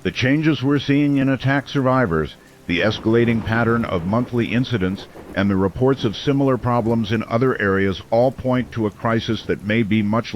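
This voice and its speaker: A man playing a somber small-town radio host, giving measured, cautionary warnings in a horror audio drama, with a dull lo-fi sound. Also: mid-range voice, slight background noise, lightly acted.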